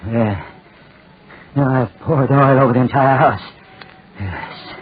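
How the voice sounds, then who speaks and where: A man's voice: medium pitch, slow pace, slightly rough. An actor playing a deranged, desperate man in 1940s radio style, repeating his phrases feverishly and obsessively. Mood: manic muttering. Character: deranged desperate man set on burning his tormentor to death. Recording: scratchy, tinny 1940s radio recording.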